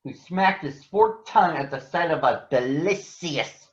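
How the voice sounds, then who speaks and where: A man voicing a protective panther who narrates a storybook jungle tale in a measured, earnest way. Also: on the high side, lively intonation. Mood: earnest storytelling. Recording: roomy echo, dull lo-fi sound.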